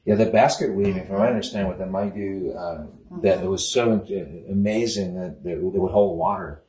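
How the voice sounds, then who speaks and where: A man hosting a museum tour, chatting in a relaxed, folksy way and cracking small jokes. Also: low-pitched. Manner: relaxed, folksy, quick with a joke. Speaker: chamber of commerce host. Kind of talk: casual chat on a tour. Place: indoor museum room. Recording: roomy, distant mic.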